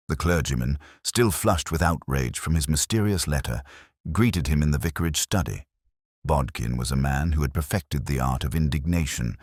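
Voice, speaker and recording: A man narrates a scripted detective story in an extremely low voice. His telling is measured and literary, with lively intonation. The sound is dry and close. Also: no echo.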